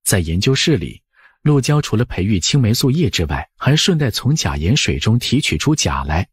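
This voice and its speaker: A man's voice: lowish voice, big pitch swings, moderate pace, breathy edge, no room sound. A man narrating an audiobook in a scripted storytelling style.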